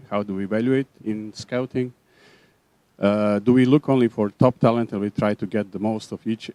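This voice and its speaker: A man's voice: on the low side, mellow, no echo. A man from Serbia leading a workshop session, talking in a hesitant way with many fillers. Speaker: workshop facilitator.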